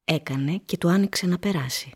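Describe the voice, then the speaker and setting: A woman narrating a literary radio play with measured, dramatic storytelling. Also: lowish voice, music in the background.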